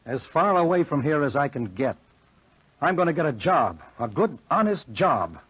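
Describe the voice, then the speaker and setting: A man's voice: fairly high pitch, very animated, moderate pace, fairly bright tone, husky, no echo, exaggerated voice. An actor playing an earnest, anxious, lovesick young college man with strained melodrama in a 1940s radio horror play. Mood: bitter resolve. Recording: muffled 1940s radio broadcast recording.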